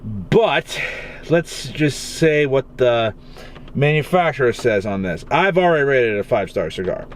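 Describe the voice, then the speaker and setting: A man's voice: mid-range voice, animated, unhurried, a little hiss or hum. A young man with a Massachusetts accent chatting casually and rambling as he reviews a cigar from his car. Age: young adult. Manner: rambling, casual, swears freely. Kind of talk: casual vlog chat. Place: in his car on a rainy day.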